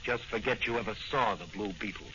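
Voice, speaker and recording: Low, tough radio hero played by a man. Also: a little fast, muffled recording, natural voice. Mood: stern, commanding. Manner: terse, commanding. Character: tough, commanding masked crime-fighting hero. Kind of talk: 1940s radio acting. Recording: noisy, band-limited old-time radio recording.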